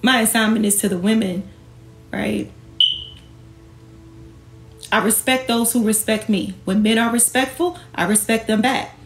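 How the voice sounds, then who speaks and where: A woman with a notably high voice hosts an impassioned livestream for her followers. She is sassy, emphatic and ready to confront, talking in a loose colloquial way and driving points home with questions. The room rings with echo, and soft music sits behind her. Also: unhurried, long pauses. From Jamaican heritage. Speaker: online host and women's empowerment speaker.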